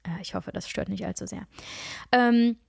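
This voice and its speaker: A woman's voice: medium pitch, normal speed, creaky, breathy edge, no echo. A middle-aged woman who is nasal from a cold, hosting a reflective solo podcast in an earnest, searching way with frequent restarts. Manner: earnest, searching, many restarts and soft asides. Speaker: podcast host and coach.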